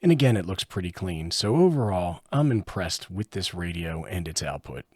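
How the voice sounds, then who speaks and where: A man's voice: sits low, big pitch swings, normal speed, a little mellow, breathy edge, dry recording. A man giving a casual hands-on product review, explaining each step as he goes. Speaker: radio hobbyist reviewer, host.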